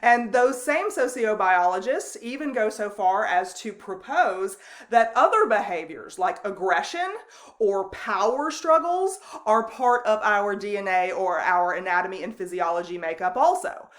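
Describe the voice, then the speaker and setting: A woman instructor giving a recorded online lecture, speaking loosely and conversationally with frequent ums and restarts and a loud voice. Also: medium pitch, expressive, measured pace. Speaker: college instructor.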